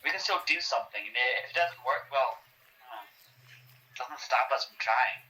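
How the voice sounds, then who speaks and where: A man playing an excitable, defiant, sweary researcher of cursed artifacts in a horror drama, with a halting, sardonic way of blurting things out. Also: medium pitch, low-quality recording. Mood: weary, resolute. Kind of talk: horror roleplay dialogue on a call.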